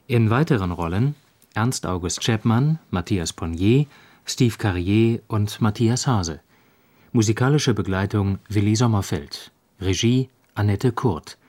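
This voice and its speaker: A man's voice: fairly low pitch, expressive, measured pace, a little mellow. A man formally announcing the credits of a radio play, reading from a script.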